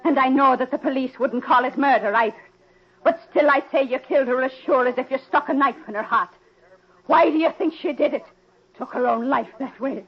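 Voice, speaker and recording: A bitter, grieving mother in a 1940s radio play. Her voice is high and husky, full of emotional accusation. The recording is dull and dated. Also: fairly quick. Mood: bitter, accusing. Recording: muffled old-time radio recording.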